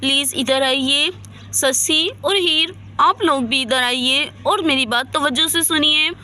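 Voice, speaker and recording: A woman's voice: high voice, slow pace, pushed and bright, exaggerated voice. A woman voicing a concerned, authoritative female doctor in a dramatised story, explaining firmly and reassuringly. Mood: serious. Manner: instructive, emphatic, reassuring.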